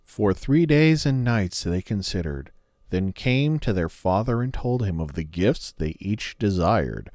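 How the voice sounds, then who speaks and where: A man narrating a fairy tale as a storyteller, measured and solemn. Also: fairly low pitch, expressive, darkish tone, no echo, studio quality.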